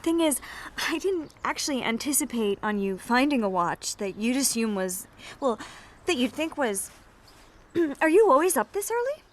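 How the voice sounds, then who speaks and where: A woman's voice: high voice, very animated, moderate pace. An actress playing an unsettled, guarded but earnest woman in a naturalistic radio drama, with a hesitant way of talking. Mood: hesitant, probing.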